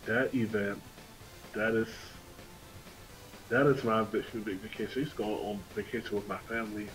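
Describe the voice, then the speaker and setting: Man, game streamer, lowish voice, rambling casual chat, halting phrasing, music playing behind. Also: steady tone, a bit bright, rough recording. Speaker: game livestreamer.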